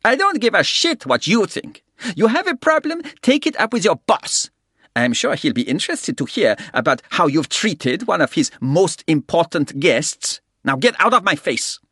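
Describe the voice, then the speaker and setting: A man's voice: high voice, sing-song, normal speed, husky, no room sound, studio quality, voice pushed up, heavily acted. A man putting on a harsh guttural old German thug. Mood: snarling. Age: 60s. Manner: snarling, contemptuous shouting. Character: arrogant violent older German man.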